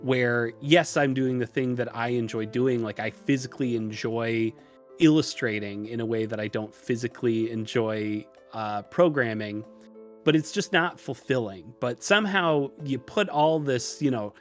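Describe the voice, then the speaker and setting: Male podcast guest, conversational storyteller, animated and self-deprecating, medium pitch. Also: normal speed, faint music behind. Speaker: podcast guest, illustrator and programmer.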